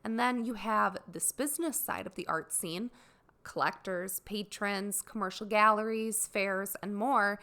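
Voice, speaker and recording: A woman hosting a solo podcast for artists, sounding raspy and dry as she gets over a cold, talking in an earnest, explanatory way. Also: medium pitch, fairly even intonation, unhurried, pushed and bright. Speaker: podcast host.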